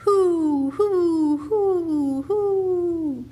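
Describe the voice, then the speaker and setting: A woman voicing the loud, drawn-out hooting calls of a great horned owl for a storybook. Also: very high voice, pitched above the performer's own voice, exaggerated voice. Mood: calling out. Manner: loud, carrying, wordless calling. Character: father calling like a great horned owl, and owl answering.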